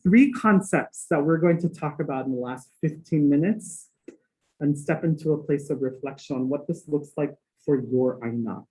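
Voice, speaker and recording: A man's voice: a little high, dark tone, rough recording. A man from Hawaii giving an earnest academic talk as guest lecturer, with rhythmic phrasing and playful asides.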